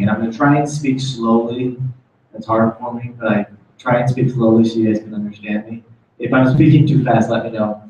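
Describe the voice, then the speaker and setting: A man's voice: mid-range voice, warm tone, slight background noise. American male executive, informal motivational speaker, dull distant sound in a heavily reverberant meeting room. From United States. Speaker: corporate executive, guest speaker. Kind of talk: informal motivational product talk. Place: meeting room, speaking before small audience. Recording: very echoey room, distant muffled mic.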